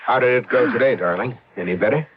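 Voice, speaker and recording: An actor playing an anxious young husband in an old-time radio drama, earnest and hesitant. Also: male voice, mid-range voice, husky, natural voice. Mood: reassuring. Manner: hesitant, halting. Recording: dull vintage radio drama recording.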